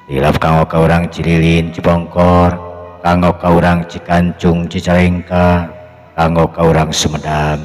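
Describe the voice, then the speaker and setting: Very deep-voiced man in long reverb. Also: flat delivery, moderate pace, darkish tone. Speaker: storyteller and channel host. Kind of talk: traditional storytelling with greetings to listeners. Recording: long echoing reverb, music behind. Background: music.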